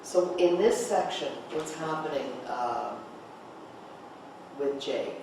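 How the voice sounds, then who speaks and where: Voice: mid-range voice, lively intonation, takes it slowly. Echoey, questioning rehearsal director. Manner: questioning, guiding. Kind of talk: informal directing and coaching. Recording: echoey room, distant mic, noise.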